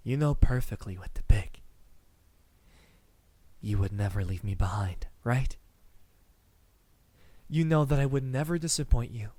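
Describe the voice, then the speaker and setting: A man playing a devoted, courtly vampire lover in an anime-style ASMR roleplay, speaking softly, intimately and formally. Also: medium pitch, no echo, lightly acted. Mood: smitten, assured. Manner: soft, slow, intimate, formal and courtly phrasing. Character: devoted adult male vampire, courtly, tender, possessive lover.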